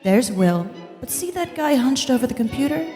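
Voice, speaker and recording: A woman playing a casual, wry, deadpan God narrating a comedic radio play. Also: high voice, moderate pace, big-room sound, faint music behind. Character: blasé, crude, wry God in heaven.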